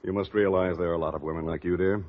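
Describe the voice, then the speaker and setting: An actor playing a patient, persistent family lawyer who reasons calmly in a 1940s radio drama. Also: male voice, sits low, rapid-fire, natural voice. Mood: patient, insistent. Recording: dull vintage radio recording.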